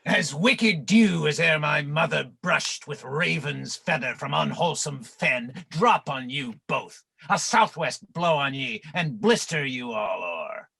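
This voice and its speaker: A man playing a bitter, savage enslaved island monster in a Shakespearean radio play, theatrical and aggrieved, with a raspy, gravelly voice. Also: a little high, expressive, unhurried, hardly any pauses, pushed and bright, full character voice. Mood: spiteful.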